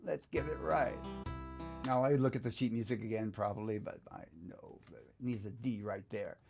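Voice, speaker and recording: A middle-aged or older man giving a casual hands-on software music lesson, thinking aloud in an easygoing, halting way as he works. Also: mid-range voice, steady tone, creaky. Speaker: guitar teacher presenting a software tutorial. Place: small indoor home studio, seated at computer. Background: backing track music.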